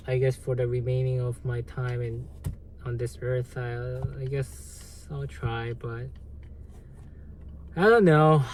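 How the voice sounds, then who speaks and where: A man with a Korean accent, a native Korean speaker, hosting a livestream and answering viewer comments in a halting, hesitant way with lots of fillers. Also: mid-range voice, warm tone, a little hiss or hum. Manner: slow, halting, many fillers and restarts. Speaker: livestream host.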